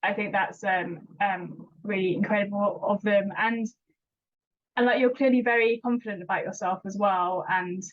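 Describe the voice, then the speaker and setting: A woman's voice: medium pitch, steady tone, moderate pace, a little hiss or hum. Stammering young woman interviewer. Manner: stammers, with repeated words and frequent fillers. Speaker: interviewer and regular vlogger. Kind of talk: supportive interview chat. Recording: dull lo-fi recording.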